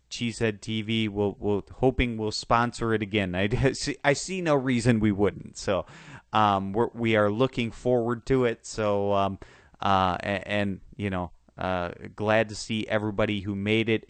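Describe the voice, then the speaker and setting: Hoarse man, halting football chatter. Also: lowish voice, dry recording. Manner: halting, many restarts and fillers. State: sore, hoarse voice. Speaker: sports podcast host. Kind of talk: casual football talk.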